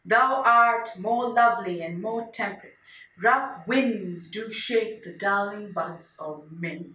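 A woman's voice: mid-range voice, slow, pushed and bright. A woman playing a desperate, quirky wife refusing a divorce in a stage monologue, dramatic and emphatic, swinging between joking and pleading. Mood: wistful, wounded. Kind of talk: solo stage monologue performance. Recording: roomy, distant mic, faint noise.

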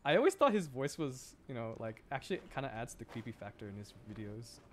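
A man's voice: mid-range voice, sing-song, fairly quick, background noise. A young man, chatting casually and playfully in a rambling way as he livestreams a game. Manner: playful, rambling, laughs often. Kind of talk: casual gaming livestream chat.

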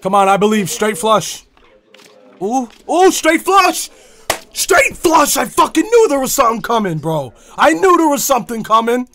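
A young man chatting casually on a livestream, excitable and slangy, swearing freely. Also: extremely high pitch, moderate pace, a little hiss or hum. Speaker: gambling livestreamer. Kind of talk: casual livestream chat and reaction. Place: indoor room at a streaming setup.

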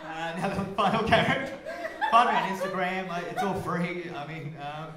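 Brief: Australian male comic, 30s, deadpan and awkward, high-ish voice, echoey comedy club. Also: normal speed, heavy background noise. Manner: awkward, deadpan, bursts into silly songs. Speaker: stand-up comedian. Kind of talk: absurd stand-up set. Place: comedy club stage with audience. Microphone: stage mic on stand. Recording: roomy club sound.

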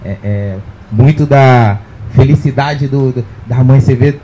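A man's voice: mid-range voice, unhurried, warm tone, slight background noise, poor audio. A man, speaking earnestly at a panel on an indoor stage with a handheld mic. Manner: earnest, rambling with many fillers. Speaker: social project leader. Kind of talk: panel discussion. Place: indoor event stage with sponsor backdrop.

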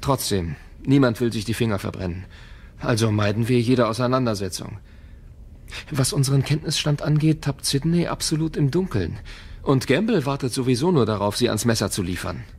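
Gravelly, wary tech founder. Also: male voice, mid-range voice, animated, a little fast, a little mellow. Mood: guarded. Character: wary, world-weary male tech company founder. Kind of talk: German audio drama dialogue.

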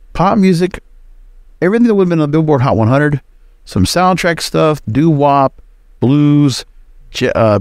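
A man with a medium-pitched voice delivers solo podcast commentary. He talks conversationally and emphatically, like an informal host sharing his opinions. The sound is dry, with very little room in it. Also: a little mellow, no room sound.

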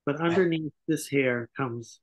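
A man's voice: a little high, measured pace, poor audio. A man in his sixties reading scripted stage directions as narrator at an online table read. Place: small home room, indoors, on a video call. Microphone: computer video call.

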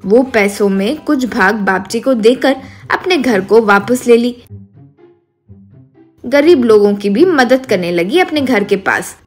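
High-pitched woman storyteller. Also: lively intonation, takes it slowly, faint music behind. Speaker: narrator. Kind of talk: scripted storybook narration.

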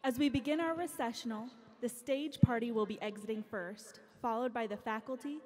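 A woman serving as ceremony announcer, giving measured, directive instructions to a graduation crowd. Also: on the high side, a little mellow. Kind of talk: formal announcements. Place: large arena. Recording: long arena reverb with faint ambience.

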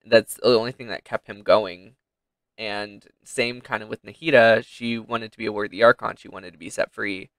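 A man's voice: lowish voice, steady tone, moderate pace, gravelly, a bit bright, no room sound. A young man giving casual reaction commentary as a game streamer.

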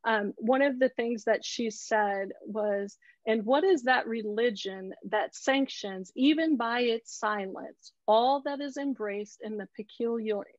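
A middle-aged woman with a fairly high voice gives an earnest talk at a slow, deliberate pace. She leans on key words for emphasis. The video-call sound is dry and a bit dull. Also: no echo. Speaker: photographer giving a talk. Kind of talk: earnest presentation and discussion. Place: indoor room on a video call. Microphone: earbuds on a video call.

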